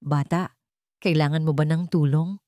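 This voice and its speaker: A woman with a Filipino accent voicing a humble, kind-hearted young working woman in an audiobook, polite and gentle. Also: deep voice, steady tone, normal speed, no echo, studio quality, natural voice. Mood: concerned. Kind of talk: audiobook character dialogue.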